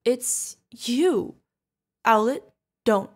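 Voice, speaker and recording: A woman's voice: a little high, very slow. A woman playing a protective older witch mentor, fond, teasing and stern, in an ASMR fantasy roleplay. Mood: alarmed, defiant. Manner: affectionate teasing, mock-exasperated. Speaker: voice actor.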